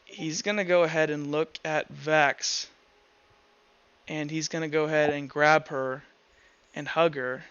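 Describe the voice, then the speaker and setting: A man narrating a tabletop roleplaying game as game master, improvising haltingly as he goes. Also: a little high, fairly even intonation, fairly bright tone, smooth. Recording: dull lo-fi audio under music.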